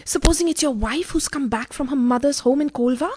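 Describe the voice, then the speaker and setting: Woman playing a very high, fretful mistress. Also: a little fast, a little mellow, close to an everyday voice. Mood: frightened. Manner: fretful, melodramatic. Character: nervous, guilt-ridden, fickle married mistress. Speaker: radio play actor. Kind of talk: farcical radio play acting.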